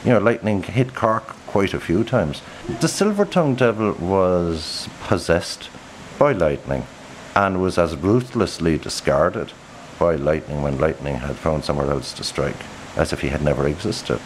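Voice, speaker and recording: A man playing an earnest, reflective literary interviewee in a mock documentary, musing in a halting, thoughtful way. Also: deep voice, lively intonation, slight background noise, rough recording.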